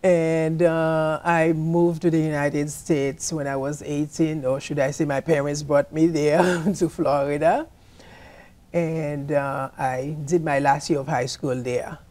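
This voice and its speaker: A middle-aged woman from Haiti, a nurse practitioner, speaking casually and a little haltingly as an interview guest. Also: sits low, steady tone, dark tone. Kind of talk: casual personal storytelling in an interview.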